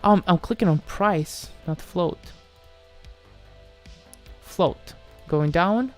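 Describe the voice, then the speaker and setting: A man's voice: high voice, extremely slow, soft background music. A man from Moldova chatting casually on a livestream as he answers viewers, hesitant, often repeating himself and trailing off. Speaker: live streamer answering chat questions.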